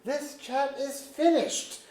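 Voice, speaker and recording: A woman's voice: high voice, slow pace, a little mellow. Woman playing an imperious noble lord, echoey auditorium. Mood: commanding. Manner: commanding, formal archaic speech. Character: ambitious, imperious noble lord deposing the monarch. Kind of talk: staged play reading. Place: museum auditorium.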